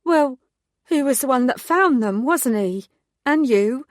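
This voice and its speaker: A woman's voice: high voice, expressive, unhurried, no room sound, studio quality, voice pushed up. A woman voicing an effusive, chatty woman in her mid-forties who gushes and gossips. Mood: gossipy.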